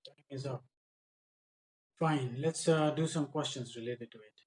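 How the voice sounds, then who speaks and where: A man serves as a speaking examiner. His voice sits at a middle pitch as he puts short, simple practice questions to a candidate. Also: measured pace, a little mellow. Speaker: examiner, English tutor.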